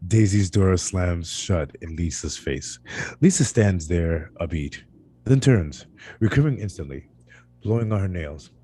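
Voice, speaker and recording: Deep-voiced man reading stage directions. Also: animated, unhurried, dark tone, husky. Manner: stumbles over words and corrects himself. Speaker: reader of stage directions at a script table read. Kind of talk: scripted narration read aloud live.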